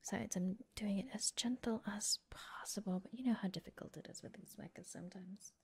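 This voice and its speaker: A woman playing a friendly, gently teasing mecha mechanic in an ASMR roleplay, soft-spoken and often whispering, murmuring reassurance as she works. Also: medium pitch, husky. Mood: gentle, focused. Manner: unhurried, murmuring reassurance while busy working.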